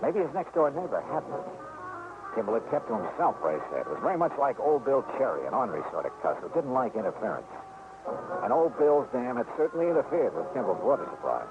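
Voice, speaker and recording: An actor with an American accent playing a shrewd, probing insurance investigator in a radio detective drama, with a clipped, wry delivery. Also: male voice, mid-range voice, big pitch swings, fast talker, music in the background. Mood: wry narrating. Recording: noisy, muffled old radio recording.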